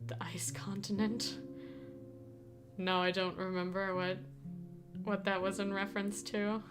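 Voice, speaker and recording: A woman's voice: mid-range voice, little pitch movement, measured pace. A young woman, a native Danish speaker, chatting casually with viewers on a live stream, giggling often and restarting her sentences. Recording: streamed with guitar music behind. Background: guitar music.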